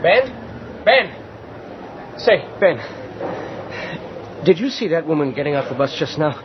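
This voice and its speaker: Uneasy bus driver, animated old-time radio. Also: male voice, a little high, noisy background. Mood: agitated. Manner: halting, agitated, searching for words. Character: uneasy, haunted male night bus driver. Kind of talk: old-time radio horror drama acting. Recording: dull old radio recording with music underneath.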